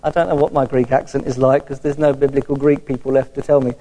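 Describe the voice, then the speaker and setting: A man's voice: medium pitch, level tone, fast talker, warm tone. A man preaching an informal Bible teaching sermon in a conversational, explanatory way with wry asides. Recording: reverberant hall sound.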